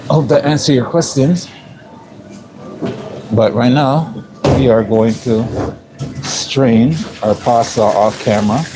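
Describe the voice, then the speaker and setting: A man with a raspy voice casually narrating his work as a chef on a live stream from a commercial kitchen, clearing his throat often between ums. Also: medium pitch, slow, long pauses, poor audio. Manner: relaxed play-by-play, frequent throat clearing and ums.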